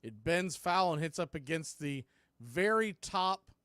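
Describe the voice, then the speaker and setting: Male baseball announcer, higher register, dry recording, radio play-by-play delivery. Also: lively intonation, measured pace, fairly bright tone, clear, resonant tone, dry recording. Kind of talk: live radio-style baseball commentary.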